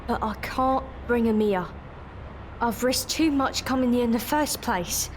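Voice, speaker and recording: Woman playing a high-pitched, earnest working girl. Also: unhurried, slight background noise, lightly acted. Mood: urgent, nervous. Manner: earnest, plain-spoken. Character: weary, determined, kind young working-class London woman. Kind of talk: audio drama acting.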